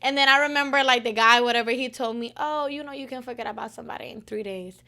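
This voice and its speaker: Young woman guest from Puerto Rico, relaxed chatter, high-pitched voice. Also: fast. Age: young adult. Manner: giggly, relaxed, lots of "you know" fillers. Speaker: guest musician. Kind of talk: casual interview chat.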